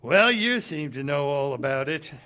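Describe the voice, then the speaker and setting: Man playing cynical cafe owner, bright forceful voice, lively swings in pitch, slangy, 1940s radio drama. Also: normal speed. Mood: sardonic. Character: cynical, streetwise American male cafe owner, bluffing and scheming. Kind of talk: 1940s radio theater acting.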